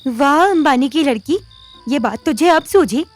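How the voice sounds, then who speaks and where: A woman's voice: high voice, lively intonation, a little slow. An actress playing a bold, streetwise young woman in an audio drama, with a bossy, sardonic, teasing manner. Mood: scornful. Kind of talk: Hindi audio drama acting. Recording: music under the voice. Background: background music.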